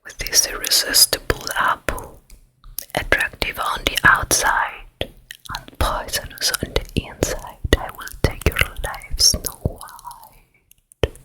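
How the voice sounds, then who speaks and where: Casting: actress for scheming evil stepmother queen, ASMR fairy tale, extremely slow breathy whisper, menacing and vain. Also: close to an everyday voice, low-quality recording. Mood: gloating, menacing. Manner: very slow, repetitive, menacing murmur. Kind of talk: ASMR roleplay.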